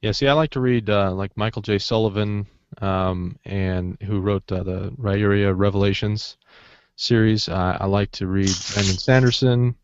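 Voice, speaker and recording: A man's voice: on the low side, fairly even intonation, normal speed, smooth, no room sound, muffled recording. A man co-hosting a podcast, chatting casually about books and films in a jokey, rambling way. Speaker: podcast co-host.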